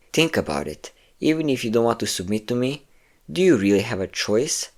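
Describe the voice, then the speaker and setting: A man's voice: mid-range voice, darkish tone, lightly acted. A man playing a possessive, domineering vampire lover, teasing and commanding the listener in an intimate audio roleplay. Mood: mocking, dominant. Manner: teasing, commanding, breathy whispers at times.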